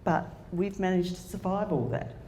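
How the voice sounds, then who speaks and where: A woman's voice: fairly low pitch, moderate pace, mellow. A woman in her sixties, telling a candid personal story as a conference speaker. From Australia. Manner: plain-spoken, candid storyteller. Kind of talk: personal story talk to an audience. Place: conference room. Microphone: handheld microphone. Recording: echoey hall with faint noise.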